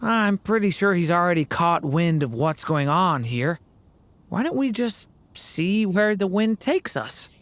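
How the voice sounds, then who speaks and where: A man voicing a young, self-assured, courteous male dragon in a video game, with a measured, slightly smug manner. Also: high voice, very animated, fairly bright tone, dry recording, heavily acted. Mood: confident.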